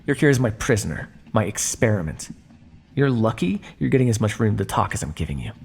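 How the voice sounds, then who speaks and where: A man playing a condescending, coolly menacing vampire scientist in an ASMR roleplay, speaking to his captive in a measured, teasing way. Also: on the low side, sing-song, gravelly, background noise. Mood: irritated, cold. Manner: smug, measured, teasing, theatrical. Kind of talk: ASMR roleplay monologue addressed to listener.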